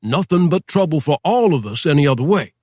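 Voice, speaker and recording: A man's voice: very animated, fairly quick, darkish tone, dry recording, clean studio sound. A man voicing an insistent, condescending southern sheriff in an audiobook. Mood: pressing. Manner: insistent, repeats himself, pitch pushed up. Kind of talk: audiobook character voice.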